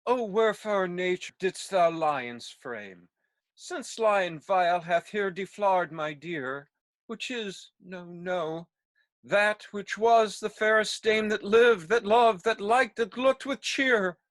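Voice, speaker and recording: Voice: medium pitch, slow pace, fairly bright tone, no room sound. An amateur actor playing a melodramatic lovesick stage hero, declaiming with bombastic grief over a home video call. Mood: grieving. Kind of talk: hammy amateur stage acting.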